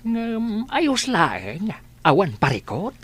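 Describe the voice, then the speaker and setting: A woman playing a gentle, consoling elderly father in a radio drama, with a husky voice and tender, sighing delivery. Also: mid-range voice, huge pitch swings, slow, heavily acted. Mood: resigned.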